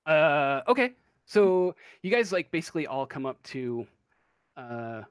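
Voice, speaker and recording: A man's voice: on the high side, big pitch swings, measured pace, clear, resonant tone, no room sound, studio quality. A man narrating a tabletop role-playing game as game master, improvising and searching for his words.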